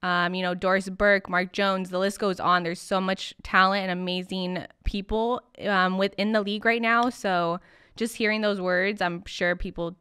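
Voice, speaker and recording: A woman's voice: mid-range voice, level tone, moderate pace, pushed and bright, clear, resonant tone, dry recording, studio quality. A young woman hosting a podcast interview in an upbeat, enthusiastic manner. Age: young adult. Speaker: podcast host.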